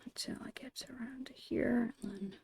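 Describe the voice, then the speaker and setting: A woman whispering a casual, gentle ASMR drawing tutorial in a breathy, unhurried voice. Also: on the high side, fairly even intonation, slight background noise. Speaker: ASMR host and digital artist.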